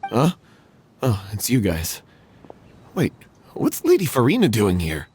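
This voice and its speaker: A man playing a troubled, regretful young stage performer in a video game, husky, hesitant and earnest. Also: on the low side, huge pitch swings, unhurried, darkish tone, slight background noise. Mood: surprised.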